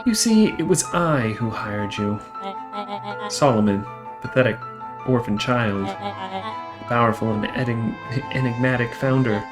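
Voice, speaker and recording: A woman voicing a smug, scheming villain in a young boy's body, speaking pompously in crisp, measured syllables for a video game. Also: extremely low pitch, animated. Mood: smug, commanding. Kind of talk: theatrical video game character acting. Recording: music behind the voice.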